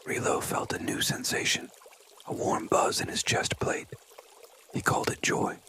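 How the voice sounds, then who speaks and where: A storyteller narrating a children's bedtime story in a breathy ASMR whisper, with deliberate phrasing and frequent pauses. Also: a bit bright.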